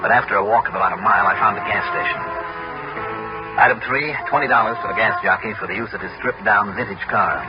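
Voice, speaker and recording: An actor playing a tough insurance investigator in an old radio drama, clipped and no-nonsense. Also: male voice, fairly low pitch, fast, over loud music, natural voice. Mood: brisk, dry. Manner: clipped, hard-boiled. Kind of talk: 1960s radio drama acting with first-person narration. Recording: muffled, very noisy old radio recording.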